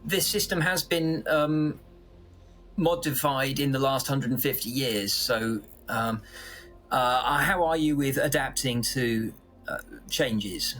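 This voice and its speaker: A man's voice: medium pitch, slow pace, a bit bright, soft background music. A man playing a polite, flustered team member in a sci-fi audio drama, hesitant and stammering.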